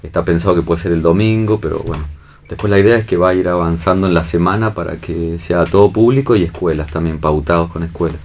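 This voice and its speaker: A man's voice: sits low, fast talker, darkish tone. A man with an Argentine accent, a director explaining his work in casual interview talk, often checking for agreement. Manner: seeks agreement with tag questions. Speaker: theatre and film director being interviewed.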